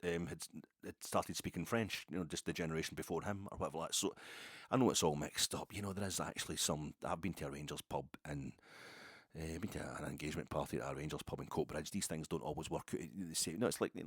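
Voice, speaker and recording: Deep-voiced rambling Scottish man. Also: fast talker, breathy edge, no echo, studio quality. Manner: rambling, wry, colloquial. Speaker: football fan podcast host. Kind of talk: casual solo chat.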